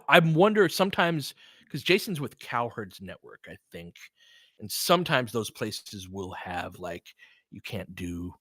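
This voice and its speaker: A man's voice: mid-range voice, sharp tone, dry recording, clean studio sound. A man hosting a sports podcast, chatting off the cuff in an earnest, rambling, freely profane way. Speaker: podcast host and sports play-by-play streamer. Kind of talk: unscripted casual chat with listeners.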